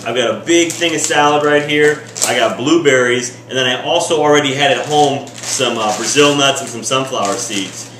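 A man's voice: mid-range voice, moderate pace, few pauses, roomy sound, far from the mic, noisy background. A man in his thirties giving a casual cooking how-to in his home kitchen, talking in an upbeat, direct coaching tone. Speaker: fitness vlogger.

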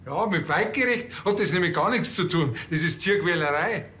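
A man's voice: a little high, lively intonation, normal speed, fairly bright tone. An actor playing a wordy, smug, moralising policeman with a Bavarian accent and a mock-polite manner. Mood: smug, indignant.

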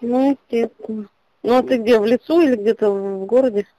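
A woman's voice: a little high, a little fast, mellow, no echo. A woman chatting casually and asking questions on an intercepted phone call.

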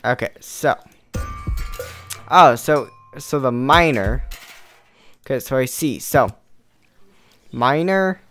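A hoarse young man rambles through a game, thinking aloud and puzzling things out in a worn, tired voice. Game music plays clearly behind him. His pitch sits in an ordinary middle range. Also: lively intonation, slow pace, long pauses, a bit bright. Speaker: let's play host. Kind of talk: casual gameplay commentary.

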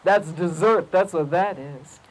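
Woman playing a big, loud, gloating lion. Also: measured pace, low-quality recording. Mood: sly. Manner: loud, gloating, booming laughter. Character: big boastful messy greedy male lion. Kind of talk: live children's storytelling.

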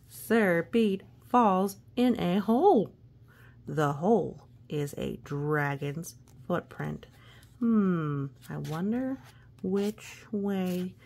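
A woman's voice: lowish voice, vocal fry, darkish tone, husky. A woman reading a children's story aloud with playful, exclamatory asides, talking as if to a young child. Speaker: picture book reader.

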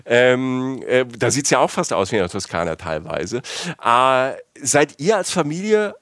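A man's voice: medium pitch, sing-song, a little slow, fairly bright tone, no room sound. Man in dry, close podcast sound. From Palatinate, south-west Germany. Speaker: podcast host. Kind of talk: casual chat.

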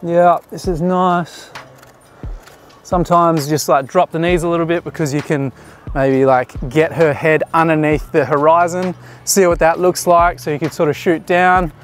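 A man's voice: fairly high pitch, fairly even intonation, normal speed, a little hiss or hum. A man in his thirties, a photographer, casually explaining his shots while giving directions to a model outdoors. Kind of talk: casual behind-the-scenes commentary. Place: outdoors on a beach jetty.

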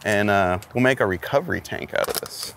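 A man's voice: fairly low pitch, brisk. Easygoing man explaining a car fix outdoors. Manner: easygoing, joking, explains while working. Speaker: host. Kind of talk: casual garage how-to chat. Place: outdoors by a car, then inside the car. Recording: outdoor background noise.

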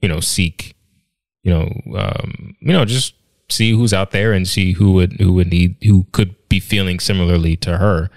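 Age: 30s. Sex: man. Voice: very deep, dry and close. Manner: rambling, thoughtful, lots of fillers. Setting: home podcast on a stand mic. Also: steady tone, normal speed, a little mellow, dry recording. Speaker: podcast co-host. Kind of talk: casual conversational podcast chat. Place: living room at home, indoors. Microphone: studio mic on a stand with headphones.

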